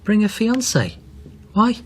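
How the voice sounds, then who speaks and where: An actor playing a suspicious, probing son in a naturalistic radio drama, questioning and sceptical in manner. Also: male voice, high-pitched, big pitch swings, slow pace, a little hiss or hum, exaggerated voice.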